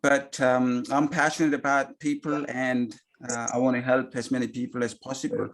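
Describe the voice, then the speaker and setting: A man's voice: mid-range voice, little pitch movement, normal speed, rough recording. A man, a company founder, speaking earnestly to investors over a video call. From came as a refugee. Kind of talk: investor webinar remarks.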